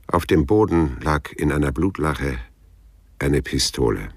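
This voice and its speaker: A man's voice: very deep voice, expressive, measured pace, very noisy, heavily acted. An actor playing a laconic, probing private detective who narrates his case tersely and matter-of-factly in a German radio crime drama. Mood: detached narrating. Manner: dry, matter-of-fact, terse.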